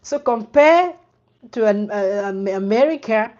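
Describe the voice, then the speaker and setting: A woman's voice: medium pitch, lively intonation, unhurried, darkish tone. A woman from Cameroon in her thirties, hosting a live finance Q&A, speaking earnestly and often repeating and restarting her phrases. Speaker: personal finance livestream host. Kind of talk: casual live Q&A answering viewer questions. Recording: dull lo-fi livestream audio.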